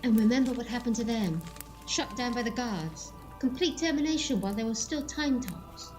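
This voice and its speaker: An actress playing a stern, disapproving elderly matriarch in an audio drama, severe and formal in manner. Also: female voice, fairly high pitch, normal speed, darkish tone, soft background music, close to an everyday voice. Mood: grave.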